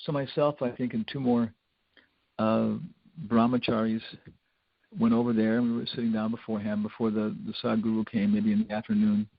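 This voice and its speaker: Older man, gravelly informal spiritual lecturer. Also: on the low side, little pitch movement, moderate pace, dry recording. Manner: rambling, conversational, with wry humour. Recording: dull lo-fi lecture recording.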